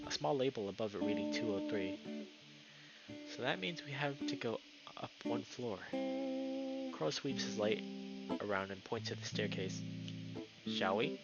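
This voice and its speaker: A man's voice: lowish voice, dull audio. A man live streaming a game, chatting casually and reading the story text aloud, sometimes tripping over words. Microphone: streaming mic with noise filtering.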